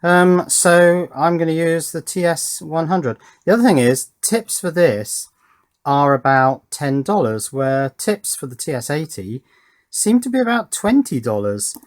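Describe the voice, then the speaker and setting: A man's voice: a little high, lively intonation, a little slow. Man casually thinking aloud at work. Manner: unscripted, thinking aloud as he works. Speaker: host of an electronics build channel. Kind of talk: casual hands-on workbench commentary.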